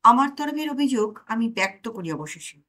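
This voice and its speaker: A woman's voice: on the high side, expressive, moderate pace, fairly bright tone. A woman storyteller giving a dramatized narration of a comic story. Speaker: audiobook storyteller.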